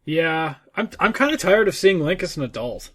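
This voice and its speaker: A man with a somewhat high voice co-hosts a casual gaming podcast. He talks in a loose, informal way and swears freely. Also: sing-song, normal speed, a bit bright. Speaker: podcast co-host.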